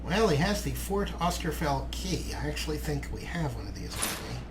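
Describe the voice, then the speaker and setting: A man's voice: a little high, sing-song, a little fast, very noisy. A man giving theatrical, dramatic gameplay commentary as he hosts a let's play.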